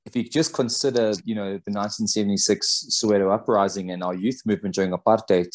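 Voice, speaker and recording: A man's voice: lowish voice, steady tone, fast. A man from South Africa moderating a webinar over a video call, speaking in an easygoing, appreciative way. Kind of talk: casual conversational talk.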